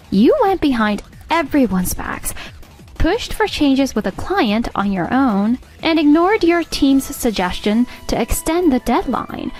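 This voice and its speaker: A woman playing a stern, commanding company boss in an anime-style dub, with a pointed, authoritative manner. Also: sits high. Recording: music bed behind the voice.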